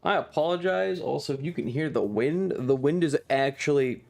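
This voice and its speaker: A man's voice: a little high, animated, moderate pace, gravelly, darkish tone, soft background music. A man hosting a casual livestream, chatting with viewers in a playful, rambling, slightly distracted way. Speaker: live streamer and host.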